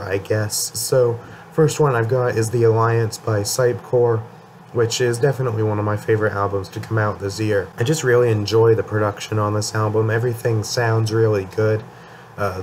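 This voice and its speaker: A man's voice: on the low side, a little hiss or hum. A young man talking casually about his favorite albums, his voice a bit worn from a cold, rambling with fillers and restarts. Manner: rambling, many ums and restarts. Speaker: music channel host. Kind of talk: casual album ranking talk.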